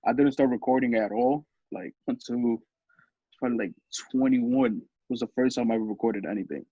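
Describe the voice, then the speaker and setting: A young man with a deep voice, a musician talking casually as an interview guest, rambling with restarts. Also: fairly quick, slightly rough, no room sound, narrow, tinny audio. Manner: relaxed, rambling with restarts and laughs.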